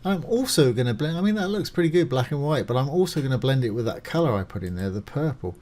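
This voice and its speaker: Man presenting a casual software tutorial. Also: mid-range voice, lively intonation, fast. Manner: informal, reassuring, explains each step as it is done. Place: voice-over of a screen recording.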